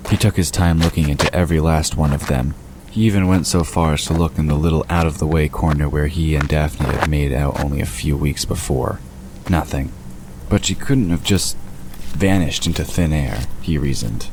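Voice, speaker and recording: A man's voice: a bass voice, normal speed. A man reading scripted story narration as the narrator of an audio drama. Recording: constant ambience noise behind narration.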